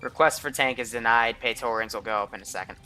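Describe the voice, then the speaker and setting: A man's voice: mid-range voice, fast talker, pushed and bright, soft background music. A man giving a blunt, sweary, sardonic mission briefing as commander in an online military game.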